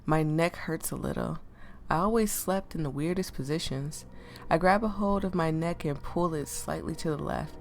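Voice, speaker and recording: A woman with a deep voice that sounds sick, chatting casually and reading game text aloud as a let's play host, easily amused and stumbling over words. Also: moderate pace, faint music behind. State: sick, voice affected.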